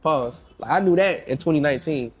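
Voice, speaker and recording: Congested young man, slangy and lively. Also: fairly high pitch, brisk. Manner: slangy, repeats himself, lively storytelling. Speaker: music producer guest. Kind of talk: casual podcast interview.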